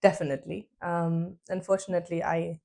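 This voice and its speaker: A woman's voice: lowish voice, little pitch movement, a little fast, gravelly, dark tone. A woman whose first language is French, talking as a guest in a studio interview with frequent fillers and laughs. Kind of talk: casual interview chat.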